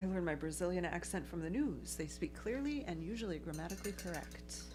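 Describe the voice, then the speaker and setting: A woman's voice: fairly low pitch, fairly quick, a little mellow, soft background music. A woman in her thirties co-hosting a hobby livestream, chatting casually, reading viewer comments and laughing often. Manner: chatty, laughs often, picky about writing. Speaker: livestream co-host.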